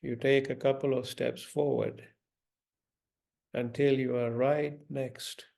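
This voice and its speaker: Slow, gravelly man guiding a meditation. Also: medium pitch, little pitch movement. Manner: gentle, deliberate, instructing with long pauses. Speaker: meditation guide. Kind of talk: guided meditation.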